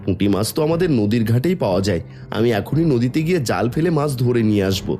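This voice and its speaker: A man acts a poor, devoted village father in a cartoon tale. He sounds earnest and humble, with a mellow tone and animated pitch movement over faint music. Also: fast talker, lightly acted. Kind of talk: Bengali cartoon folk-tale voice acting.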